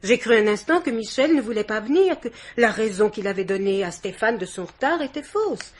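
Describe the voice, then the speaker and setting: An actress playing an assertive society hostess in a vintage French radio mystery, haughty, chatty and insistent. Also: female voice, a little high, expressive, brisk. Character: assertive society hostess, a young woman's aunt. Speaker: actor.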